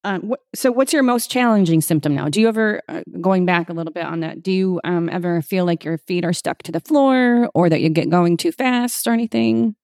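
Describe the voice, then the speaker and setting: A woman's voice: fairly low pitch, brisk, hardly any pauses, a little mellow, no room sound, clean studio sound. A woman physical therapist hosting a casual interview, chatty and encouraging, often laughing. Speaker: physical therapist and podcast host.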